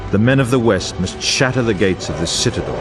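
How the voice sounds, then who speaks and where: An actor playing a solemn, grave war chronicler, narrating a fantasy game in a grandiose, formal and portentous way. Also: male voice, on the low side, animated, brisk, natural voice. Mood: solemn, foreboding. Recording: orchestral music bed under voice. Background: music.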